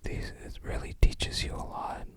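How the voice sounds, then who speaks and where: An ASMR creator whispering unhurried, musing, slightly hesitant commentary while showing items to the viewer. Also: a bit bright.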